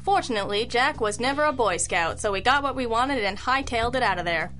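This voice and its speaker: An actress playing a sharp, sardonic female private detective, brisk and needling, in old-time radio style. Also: fairly high pitch, expressive. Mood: wry. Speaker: detective and narrator.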